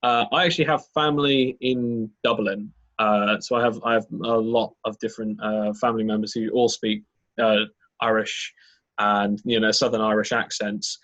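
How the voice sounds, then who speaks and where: A man's voice: lowish voice, flat delivery, normal speed, a bit bright, lo-fi sound. A man talking casually about voicing characters as a game master, rambling with many fillers and restarts. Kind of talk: casual podcast chat.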